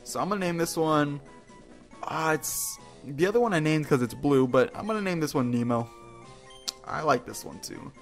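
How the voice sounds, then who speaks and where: A man with a fairly high voice gives casual, playful commentary on a video game. He rambles in slangy phrases and often repeats himself. Music plays behind him. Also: animated, moderate pace. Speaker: host of a video game playthrough.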